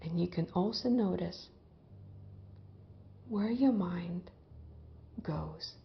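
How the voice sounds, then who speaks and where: A woman leading a guided visualization in a gentle, hypnotic way, with long pauses and soft phrase endings that trail off toward a whisper. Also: fairly low pitch, vocal fry, highs cut off, slight background noise. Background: background music.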